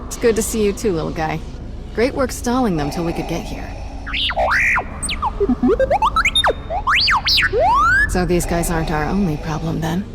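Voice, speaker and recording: An actress playing a brisk, practical, wry space crewmate in a video game, speaking in a matter-of-fact way. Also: female voice, lowish voice, close to an everyday voice. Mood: friendly, wry. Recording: loud music behind the voice.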